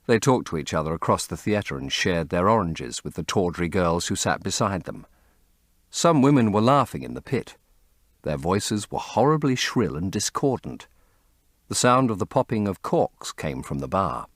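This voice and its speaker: A man reading literary fiction as an audiobook narrator, in an expressive, measured storytelling manner. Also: low-pitched, no room sound, clean studio sound.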